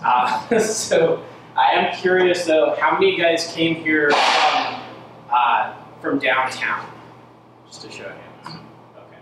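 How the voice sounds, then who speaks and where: Man presenting at a meetup, relaxed and joking, heard from across a big reverberant room with background noise. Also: fairly high pitch, slow. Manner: casual, joking, loose with fillers. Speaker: meetup speaker. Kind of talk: live tech presentation. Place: large indoor event space with audience. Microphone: handheld mic. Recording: echoey room, mic from far off, noisy.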